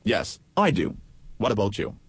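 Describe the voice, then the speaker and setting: A man's voice: on the low side, huge pitch swings, moderate pace, slightly rough, no echo, exaggerated voice. A man playing a contrary, evasive chatbot in a reenacted interview, blunt and matter-of-fact. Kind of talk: reenacted text-chat interview.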